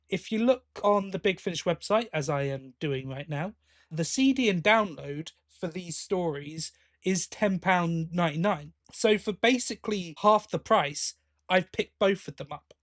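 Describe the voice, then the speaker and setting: A man's voice: high-pitched, no room sound, studio quality. A man co-hosting a podcast, talking in a casual, chatty and enthusiastic way. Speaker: podcast co-host.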